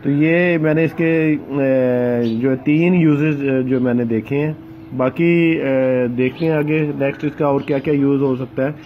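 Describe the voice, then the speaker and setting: A man hosting a gardening vlog, talking casually outdoors among potted plants and pausing often with filler sounds, recorded on a handheld phone. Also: medium pitch, steady tone, highs cut off, slight background noise. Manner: hesitant, many filler sounds and pauses. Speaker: gardening channel host.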